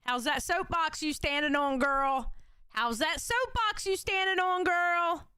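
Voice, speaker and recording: A woman's voice: extremely high pitch, a little slow, bright, forceful tone, no room sound. A young adult woman streamer giving blunt, heated commentary on clips and reading out chat comments. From Puerto Rico. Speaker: reaction streamer. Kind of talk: casual commentary reacting to clips.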